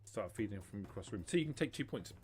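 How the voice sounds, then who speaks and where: A man running a tabletop roleplaying game as game master, explaining rules and narrating in halting, self-correcting phrases. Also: on the low side, animated, faint music behind. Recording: noisy hobby livestream audio.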